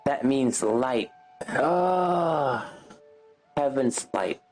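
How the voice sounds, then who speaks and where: A man streams his reactions to a game in an informal, sweary way. His voice sits at an average pitch, and game music plays faintly behind his off-the-cuff commentary. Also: lively intonation, drawn-out words, darkish tone. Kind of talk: casual gameplay reaction commentary.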